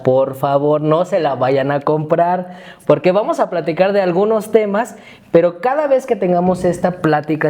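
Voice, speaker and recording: A man's voice: a little high, dark tone. A man in his thirties with a Mexican accent, co-hosting a podcast in a chatty, joking way and reading out his own essay. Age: 30s. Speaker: podcast co-host. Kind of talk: casual podcast chat.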